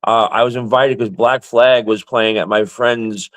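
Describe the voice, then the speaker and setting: A man with a stutter talks bluntly and swears freely, often repeating words as he starts. His voice is mid-pitched and dry, with little room sound around it. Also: steady tone, a little slow, a bit bright, no echo. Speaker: guest. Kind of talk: casual chat.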